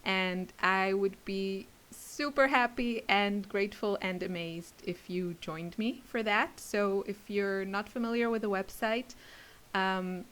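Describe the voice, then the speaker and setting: A woman's voice: mid-range voice, slow, a bit bright. A woman crafter chatting casually to her viewers in a rambling, giggly way. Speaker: craft video creator and class teacher. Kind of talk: casual rambling chit-chat to camera.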